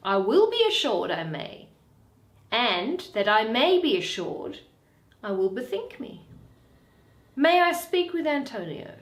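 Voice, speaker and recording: Woman as bitter Shakespearean moneylender, shrewd, deliberate, slow-paced, widely swooping pitch. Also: sing-song. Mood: musing, sly. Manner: deliberate, drawn-out, weighing each phrase. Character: shrewd, bitter male moneylender, brooding and grudging. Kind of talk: dramatic Shakespeare reading. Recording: roomy echo.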